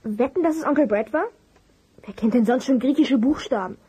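High, husky young sleuth. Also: female voice, brisk, warm tone. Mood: sceptical, stubborn. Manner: opinionated, argumentative. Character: sceptical, inquisitive young amateur sleuth. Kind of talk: German audio drama acting.

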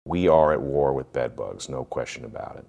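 Very deep, gravelly middle-aged man. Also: normal speed, warm tone. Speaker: pest control expert. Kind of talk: documentary interview. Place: indoors, seated by a fireplace. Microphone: lapel. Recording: music behind the voice.

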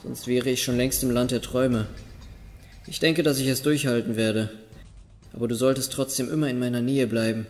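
A man's voice: mid-range voice, fairly even intonation, normal speed, lightly acted. A man playing an earnest, thoughtful young acolyte healer in a fantasy audio drama. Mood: thoughtful, uneasy. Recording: long cave-like reverb, loud music.

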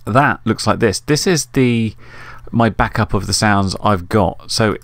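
A middle-aged man with a low voice talks through a how-to in a relaxed, conversational manner. He explains things informally as a tutorial host. The sound is dry, with very little room in it. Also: measured pace, no echo. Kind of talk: casual tutorial narration.

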